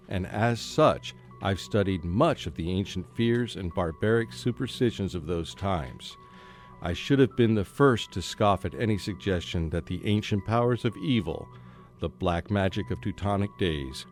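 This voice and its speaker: A man plays a scholarly British scientist in a radio play, rational and skeptical yet troubled. His voice sits fairly low and moves widely in pitch. Music runs softly underneath. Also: natural voice. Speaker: actor and first-person narrator. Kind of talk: radio drama acting. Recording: faint music behind a student radio play.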